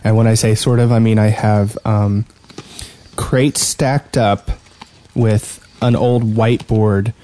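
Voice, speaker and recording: A man's voice: on the low side, fairly even intonation, slow, warm tone. A man co-hosting a casual gaming podcast, rambling hesitantly with a dry, teasing manner. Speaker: podcast co-host.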